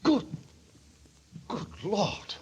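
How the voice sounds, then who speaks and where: An actor playing a friendly, bewildered visitor in an old-time radio mystery, with an animated, questioning manner. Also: male voice, very slow.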